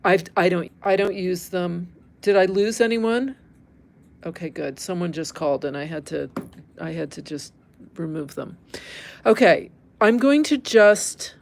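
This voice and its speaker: A woman's voice: on the low side, a little slow, creaky, darkish tone. A middle-aged American woman teaching embroidery in an informal live demonstration, candid and conversational, thinking aloud as she works and chats with viewers. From Northern California, United States. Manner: candid, conversational, thinking aloud while working with her hands. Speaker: embroidery teacher, livestream host.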